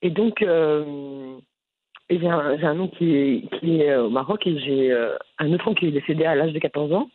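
A woman from Brussels with a Brussels French accent, answering interview questions in an animated, frank way with frequent restarts. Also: deep voice, normal speed, no room sound. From Brussels, Belgium. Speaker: content creator guest. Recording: phone-line audio.

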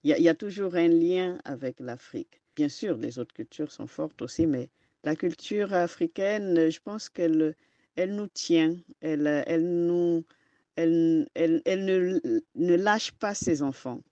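A woman author and storyteller, whose first language is not French, answering interview questions in a halting way that keeps restarting. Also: lowish voice, mellow, no room sound, lo-fi sound. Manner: hesitant, halting, often restarts and searches for words.

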